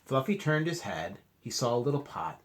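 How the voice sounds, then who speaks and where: A man doing an expressive read-aloud for children, chatting to his young listeners in asides and asking them questions directly. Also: mid-range voice, normal speed, slight background noise. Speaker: children's storybook reader, teacher.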